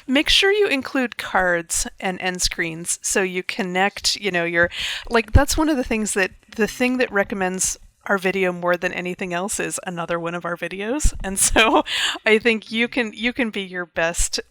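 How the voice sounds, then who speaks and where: A woman's voice: medium pitch, animated, moderate pace, fairly bright tone, no echo. A woman hosting a casual video call chat, chatty and laughing often as she talks. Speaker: host of a creator hangout.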